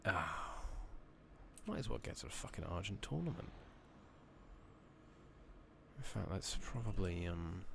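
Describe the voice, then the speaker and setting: A man giving soft-spoken ASMR game commentary, muttering casually to himself and often slipping into a whisper, on a noisy close mic. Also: sits low, lively intonation, measured pace, creaky, pauses often. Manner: murmuring, often dropping into whispers. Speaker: video game streamer. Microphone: makeshift-rigged new microphone. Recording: noisy close mic, slightly loud, clicking.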